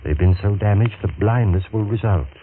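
An actor playing a vindictive, scheming male surgeon in a 1940s radio drama, measured and insinuating. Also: lowish voice, close to an everyday voice. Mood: persuasive. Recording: tinny old radio broadcast with faint hiss.

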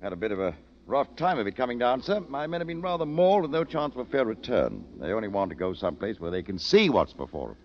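An actor playing a battle-weary, dutiful British colonel with a clipped, deferential military manner in a radio drama. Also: male voice, mid-range voice, big pitch swings, fast, few pauses, dull audio. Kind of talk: 1940s-50s radio drama acting. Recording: old band-limited radio recording, faint noise.

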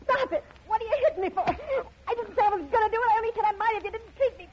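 High-pitched brassy gangster's dame. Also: female voice, fast talker, a little hiss or hum. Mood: indignant, protesting. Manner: slangy, brassy, scolding. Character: brash, tough-talking gangster's dame, indignant and defiant. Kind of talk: 1950s radio drama acting. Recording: dull old radio recording.

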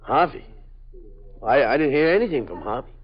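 An actor playing a nervous, evasive small-time parolee who stammers and pleads, in a 1950s radio drama. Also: male voice, mid-range voice, expressive, moderate pace, lightly acted. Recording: dull lo-fi old radio broadcast.

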